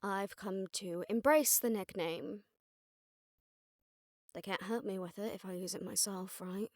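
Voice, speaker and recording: A woman's voice: mid-range voice, unhurried, darkish tone, dry recording, clean studio sound, close to an everyday voice. A woman playing a jaded, sardonic witch detective who sees visions of death, talking conversationally to a partner in a roleplay audio drama. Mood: dry, determined.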